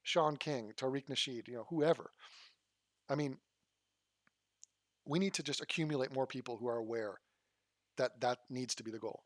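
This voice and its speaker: A man's voice: medium pitch, moderate pace, long pauses, dry recording. Male channel host, casual and blunt, mid voice, dry close sound. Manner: blunt, rambling, swears freely. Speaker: channel host reacting to a clip. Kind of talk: casual commentary.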